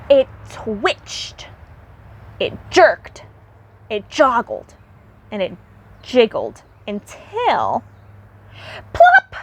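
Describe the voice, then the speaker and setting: A woman narrates a children's storybook. Her voice is high and very animated, with a slow, deliberate delivery. Noise is audible behind her.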